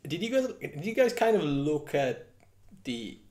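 A man's voice: fairly high pitch, sing-song, unhurried, a little mellow. Curious man hosting. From India. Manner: curious, asks long questions with restarts. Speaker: podcast host. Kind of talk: casual conversational interview.

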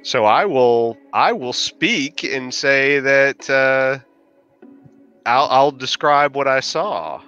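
A man with a bright voice of ordinary pitch talks casually as a tabletop player. Light music sits behind his voice. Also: slow, clean tone, lo-fi sound. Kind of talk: casual game chat. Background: background music.

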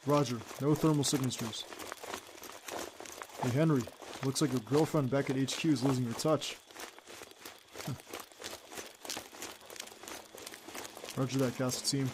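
A man's voice: medium pitch, steady tone, takes it slowly, pauses often, noisy background, natural voice. A man playing a young secret agent who leads his team and is a devoted boyfriend, in an audio roleplay drama. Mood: controlled, focused. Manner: clipped military radio commands.

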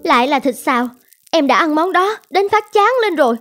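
A woman's voice: high voice, animated, normal speed, fairly bright tone, soft background music. A woman voicing a dissatisfied, nagging young wife in a cartoon drama, with a reproachful way of talking. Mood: exasperated, scolding. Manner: complaining, reproachful.

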